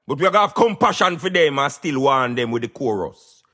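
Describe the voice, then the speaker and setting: A man's voice: mid-range voice, expressive, moderate pace, pushed and bright. A Jamaican man hosting a talk radio show, giving emphatic, preaching commentary in Patois.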